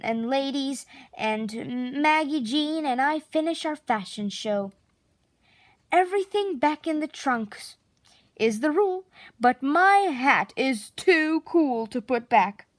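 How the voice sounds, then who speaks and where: A woman narrating a children's picture book expressively, with theatrical, drawn-out emphasis on key words. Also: high voice, no echo. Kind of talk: expressive children's storybook read-aloud.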